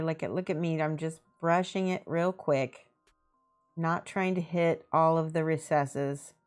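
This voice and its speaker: Low, slow-talking Midwestern woman. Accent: Midwestern American. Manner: relaxed, thinking aloud, greeting chat viewers. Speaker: miniature painting livestreamer. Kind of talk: casual live-stream chat while painting.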